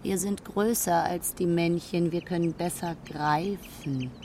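A woman's voice: lowish voice, fairly even intonation, unhurried, mellow, slight background noise. A woman playing a proud, self-assured female hawk who instructs in a children's radio play. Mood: indignant, proud. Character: proud female hawk, bigger than the males, matter-of-fact.

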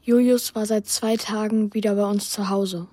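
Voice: medium pitch, fairly even intonation, normal speed, mellow, natural voice. A performer voicing an earnest, troubled young boy who tells his story in a children's radio play. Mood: confiding. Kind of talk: radio play narration and dialogue.

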